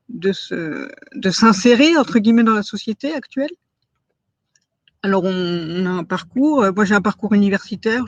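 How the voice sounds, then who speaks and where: A woman's voice: mid-range voice, no room sound, highs cut off. A woman in her forties giving a personal testimony in an interview, hesitant, with many fillers and restarts and words she stresses as if in quotes. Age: 40s.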